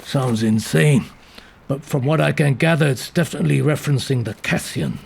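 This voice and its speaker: An actor playing a tenacious older police investigator in an audio drama, dry, blunt and probing, with a very gravelly voice. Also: male voice, medium pitch, moderate pace, a little hiss or hum. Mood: businesslike. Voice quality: gravelly, creaky. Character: tenacious, gruff old male police investigator. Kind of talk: scripted audio drama acting. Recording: voice over prominent music bed. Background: dramatic music.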